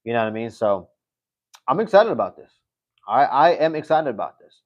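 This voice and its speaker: A man's voice: mid-range voice, big pitch swings, brisk, highs cut off. A man hosting a live stream, chatting loosely as he rambles, repeats himself and swears now and then. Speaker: livestream host. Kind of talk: casual live-stream commentary.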